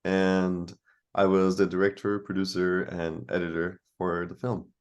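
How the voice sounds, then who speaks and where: Deep-voiced man from Costa Rica. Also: monotone, a little slow, muffled recording. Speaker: documentary director. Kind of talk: video call Q&A. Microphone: video call.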